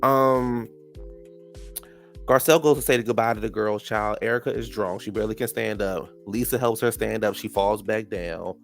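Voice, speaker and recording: Sassy, gravelly-voiced man with a stuffed nose. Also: fairly low pitch, fairly even intonation, normal speed, fairly bright tone, soft background music. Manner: sassy and chatty, calls people "child" and "honey". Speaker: reality TV recap host. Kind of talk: casual recap commentary.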